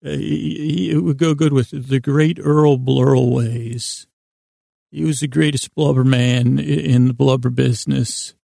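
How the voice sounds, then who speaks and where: A man hosting a bedtime podcast in a meandering, halting monologue, self-deprecating and silly, repeating and playing with words. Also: medium pitch, fairly even intonation, gravelly, dark tone, no room sound, studio quality. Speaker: podcast host.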